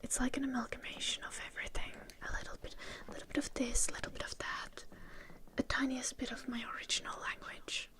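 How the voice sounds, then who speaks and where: A woman from Finland hosting a live ASMR stream, whispering softly to her chat in English with a light Finnish tinge, close to the microphone. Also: sits high, level tone, quick pace. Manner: soft whisper, reading chat names. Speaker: ASMR livestream host.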